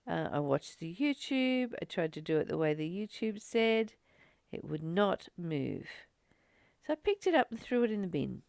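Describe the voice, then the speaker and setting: A woman giving an informal spiritual talk as a teacher, earnest, phrasing things with deliberate, emphatic pauses. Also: sits low, creaky, darkish tone, dry recording, studio quality. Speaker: spiritual teacher leading a daily course study.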